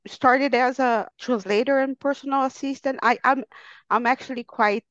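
A woman's voice: high voice, fairly even intonation, moderate pace, fairly bright tone, dry recording. A woman from Brazil, a guest on a podcast, chatting in a friendly way with small stammers. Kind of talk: casual interview chat. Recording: dry dull lo-fi sound.

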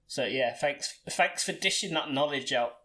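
A man's voice: a little high, moderate pace, a crowd in the background. A man in his late twenties hosting a podcast from his bedroom, talking casually with frequent restarts and laughter. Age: 20s. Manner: chatty, laughs often, many restarts. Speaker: podcast host.

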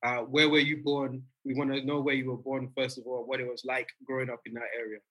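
A man's voice: mid-range voice, level tone, fast, fairly bright tone, highs cut off. A man with a London accent, co-hosting a podcast on a call and asking long, easygoing questions. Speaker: podcast co-host. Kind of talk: casual interview talk. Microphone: video call.

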